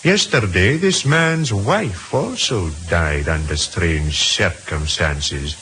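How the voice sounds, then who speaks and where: A male actor playing a dutiful, dry police detective in an old radio drama, speaking crisply and with deference. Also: deep voice, animated, takes it slowly, pushed and bright, heavily acted. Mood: dry, puzzled. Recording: hissy old radio recording.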